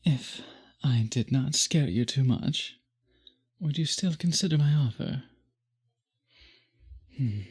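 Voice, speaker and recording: A man playing a possessive, doting male vampire in an intimate ASMR roleplay, speaking formally in a husky, breathy murmur that often drops to a whisper. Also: mid-range voice, slow pace, long pauses, darkish tone. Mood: apologetic, doting.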